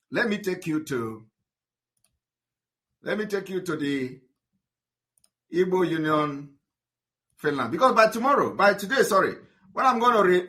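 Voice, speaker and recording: A man's voice: sits high, sharp tone. A man, addressing his followers in a livestream and switching between English and Igbo. He talks in a combative way and often stumbles over repeated words and fillers.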